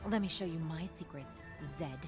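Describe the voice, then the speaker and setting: An actress playing a stern, confident young ninja assassin in a video game, curt, deadpan and cutting. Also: female voice, low voice, moderate pace, faint music behind, close to an everyday voice. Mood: menacing, confident. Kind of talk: video game champion voice lines.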